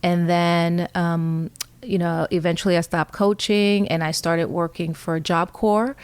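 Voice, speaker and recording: A woman in her forties from New York City whose first language is Spanish, talking earnestly and thoughtfully as a guest with frequent fillers. Her voice is smooth and resonant, sitting a little low, and the recording is dry and close. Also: level tone, measured pace, no echo. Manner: reflective, earnest, many fillers. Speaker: guest, fire chief. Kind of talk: casual interview conversation.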